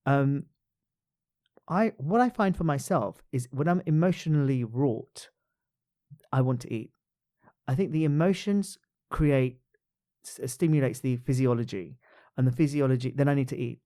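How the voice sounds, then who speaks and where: A man's voice: medium pitch, moderate pace, dark tone. A man hosting a podcast, chatting casually and hesitantly with many fillers and restarts. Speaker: podcast host. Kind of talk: casual interview chat.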